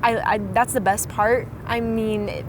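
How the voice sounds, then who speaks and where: A young woman in her twenties chatting in a casual interview as a guest, upbeat and quick to laugh. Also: fairly high pitch, fairly even intonation, noisy background. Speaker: interview guest, content creator.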